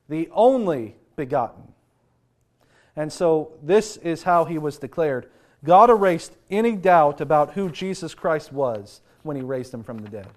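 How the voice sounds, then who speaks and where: A man preaching a sermon, sounding congested and nasal from a sinus infection, slowing to stress key phrases. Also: on the high side.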